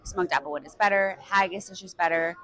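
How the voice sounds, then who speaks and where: A woman's voice: on the low side, brisk, fairly bright tone. A woman from Wales, chatting casually to camera as a travel vlogger and giving candid reviews. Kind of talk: casual vlog talk to camera. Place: restaurant, then outdoors in the street. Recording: crowd noise behind.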